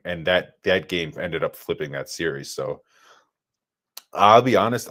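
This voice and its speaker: A man's voice: low voice, fairly bright tone. A man co-hosting a podcast, chatting casually and building his points step by step. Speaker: podcast co-host. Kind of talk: casual sports chat.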